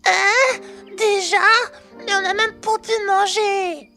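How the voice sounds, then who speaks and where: A woman's voice: very high-pitched, steady tone, slow pace, gravelly, bright, forceful tone, faint music behind, heavily acted. A woman playing a brash, cheeky young working girl in a French roleplay audio drama, talking in casual slang with a teasing manner. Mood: disappointed, friendly.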